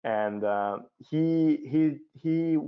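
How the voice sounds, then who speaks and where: A man from Budapest with a Hungarian accent, speaking haltingly and repeating words as an interview guest in an unscripted panel conversation. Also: a little high, animated, clear, resonant tone. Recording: muffled lo-fi online call.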